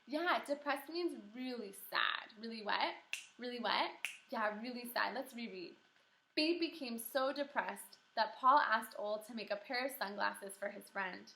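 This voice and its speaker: Lady teacher doing a read-aloud for little kids, very bright and encouraging, asks stuff then waits, roomy sound. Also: on the high side, gravelly, slight background noise. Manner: encouraging, animated, asks questions and pauses for answers. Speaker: kindergarten teacher. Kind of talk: read-aloud lesson for young children. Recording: roomy echo.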